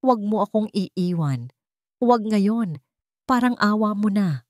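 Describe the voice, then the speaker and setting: A woman's voice: mid-range voice, lively intonation, a little slow, no room sound, clean studio sound, pitched above the performer's own voice, close to an everyday voice. A woman voicing a desperate, anguished young man in an audio drama, pleading dramatically.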